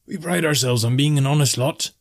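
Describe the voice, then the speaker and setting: Man putting on a hearty, high-pitched stout bartender. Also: brisk, vocal fry, close to an everyday voice. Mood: welcoming. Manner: jovial, exclaiming, hospitable. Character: hearty, tall, stout bartender of a northern town, friendly. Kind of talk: fantasy audiobook character dialogue.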